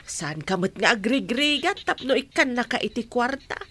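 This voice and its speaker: Caring mom in a radio drama, really animated pitch. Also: female voice, normal speed, slightly rough, full character voice. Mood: defensive. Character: gentle, caring mother of grown son.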